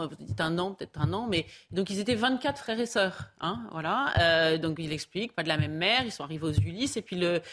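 A woman giving halting, explanatory commentary as a panellist in a studio debate. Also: medium pitch, a bit bright.

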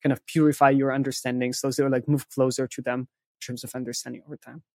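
Male interview guest, mid-pitched, closely recorded with no room sound, explains step by step with frequent check-ins. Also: level tone, fast, no room sound, clean studio sound. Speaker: interview guest, content writer who interviews experts.

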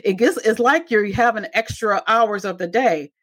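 A woman's voice: mid-range voice, normal speed, fairly bright tone. A woman hosting her podcast, interviewing a guest in an encouraging way with plenty of affirming replies. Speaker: podcast host. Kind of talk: casual interview.

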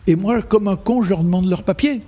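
An older man, a photographer telling stories slowly and repeating phrases for emphasis. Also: high voice, a crowd in the background. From Algeria. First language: Arabic. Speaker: photographer guest. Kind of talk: reflective storytelling in conversation.

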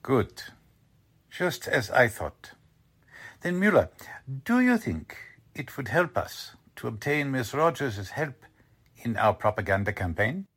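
A male Nazi spymaster in a wartime radio play acted by a man, courteous on the surface and pleased with his own scheme. His middling voice swings widely in pitch and has a slightly husky edge as he lays out orders deliberately. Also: sing-song, a bit bright, full character voice. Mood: probing, businesslike. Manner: courteous, deliberate, self-satisfied. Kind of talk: 1940s radio drama acting.

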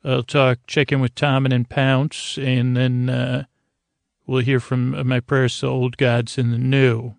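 A man with a creaky voice hosting a bedtime podcast, rambling softly in a meandering, hesitant, soothing way and often interrupting himself. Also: medium pitch, level tone, takes it slowly, no room sound. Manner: soothing, whimsical. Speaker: podcast host.

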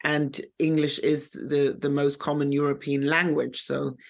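Slow, halting woman with German as first language. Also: deep voice, level tone, vocal fry. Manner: hesitant, many fillers and restarts. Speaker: guest, co-editor. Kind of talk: conversational interview.

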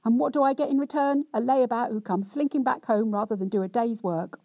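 A woman voicing a cunning, theatrical young wife who berates her husband in a loud, indignant, sweary tirade. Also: on the high side, very animated, moderate pace, slightly rough, no echo, studio quality, pitched above the performer's own voice. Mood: scolding.

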